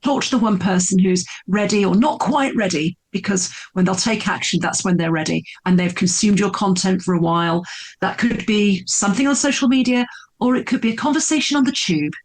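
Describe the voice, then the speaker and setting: A woman's voice: medium pitch, brisk. A middle-aged woman, a podcast guest telling her story in an earnest, emphatic way, often repeating words for effect. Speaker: podcast guest, speaker and coach. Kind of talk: candid storytelling interview.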